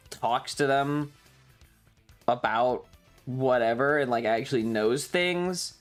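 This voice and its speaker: A man chatting casually as a streamer, telling stories in an exasperated, rambling, sweary rant. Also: medium pitch. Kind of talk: casual stream chat, ranting storytelling.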